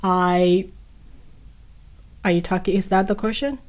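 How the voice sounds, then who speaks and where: A woman's voice: fairly low pitch, steady tone, unhurried. A young woman from Nepal, a guest on a podcast interview, speaking hesitantly with many fillers and restarts. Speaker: guest, PhD candidate.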